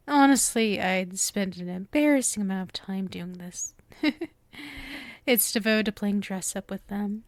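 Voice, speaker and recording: A woman's voice: a little high, no echo. A woman playing a sweet, obsessive witch in an ASMR roleplay, coy and affectionate, speaking to the listener close to the microphone. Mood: gleeful, proud. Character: sweet, doting, obsessive yandere witch, playful and lovestruck.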